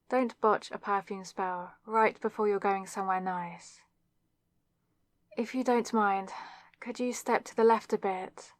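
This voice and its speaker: A woman's voice: mid-range voice, no room sound, natural voice. A young woman playing a flustered, awkward student witch with a wry, self-deprecating manner in an ASMR roleplay, speaking straight to the listener. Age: young adult. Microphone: close microphone in a dry space.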